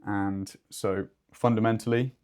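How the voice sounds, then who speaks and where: A man with a fairly low voice talks as the expert co-host of a business video. His delivery is conversational and explanatory, with measured, somewhat wordy phrasing. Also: fairly even intonation, a little mellow, clean tone. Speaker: podcast co-host and two-way radio expert.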